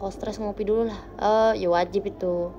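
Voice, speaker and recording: A young woman hosting a casual livestream from her bedroom, chatting with viewers into a phone held close. She talks playfully, with quiet murmurs that jump into bright, lively bursts. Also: mid-range voice, brisk, highs cut off, a little hiss or hum. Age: young adult. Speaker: idol livestream host. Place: small bright bedroom indoors. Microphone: phone camera close to face.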